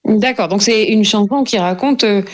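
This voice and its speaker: A woman's voice: medium pitch, normal speed. Thoughtful woman interviewer.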